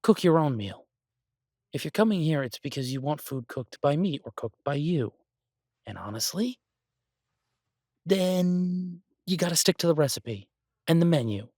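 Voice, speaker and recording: A man playing an exasperated restaurant chef venting to his partner in a halting, grumbling audio roleplay. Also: on the high side, lively intonation, darkish tone, no room sound, studio quality. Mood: exasperated, ranting. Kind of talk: boyfriend audio roleplay, conversational monologue.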